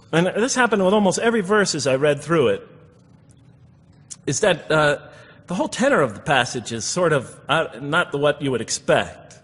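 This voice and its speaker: A man's voice: a little high, fairly bright tone. A man giving a public religious lecture in an explanatory, reflective manner, reciting verses and commenting on them. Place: lecture hall before an audience. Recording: echoey hall with long reverb.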